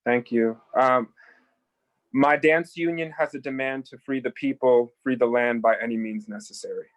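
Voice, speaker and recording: A man on a panel, speaking in a plain, steady way. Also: medium pitch, moderate pace.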